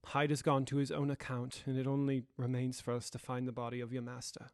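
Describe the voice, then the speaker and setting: A man's voice: medium pitch, monotone, a little fast, clean tone, no echo, studio quality, lightly acted. A man playing a determined Victorian lawyer in an audio drama, speaking in a formal, declamatory, old-fashioned way. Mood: grave, puzzled.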